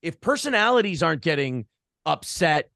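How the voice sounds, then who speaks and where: Man, slightly high voice, dry close sound. Blunt, opinionated podcast guest, casual and sweary. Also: sing-song, normal speed, creaky, sharp tone, dry recording. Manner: blunt, profane. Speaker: podcast host being interviewed.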